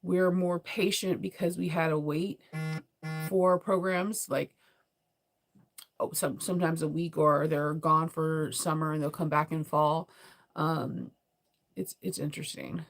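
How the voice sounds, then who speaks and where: A woman's voice: fairly even intonation, a little slow, gravelly. A middle-aged American woman with a congested, cold-affected voice, chatting casually and ramblingly as a sewing vlogger on a livestream. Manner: rambling, giggly, conversational; sleepy, low energy.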